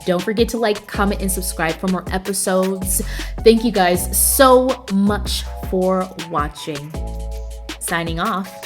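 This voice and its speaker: An excitable woman recaps the week's wrestling in a loose, chatty way, doubling up words when she gets fired up. Her voice sits at an everyday middle pitch, with soft music playing quietly behind her. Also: slow. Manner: enthusiastic, emphatic, repeats words for emphasis; casual slangy phrasing. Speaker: wrestling review show host. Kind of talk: casual recap and commentary.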